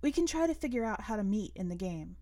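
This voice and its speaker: A woman voicing an excited, friendly teenage girl gamer who talks in an eager, chatty, questioning way. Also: medium pitch, fast talker, no room sound.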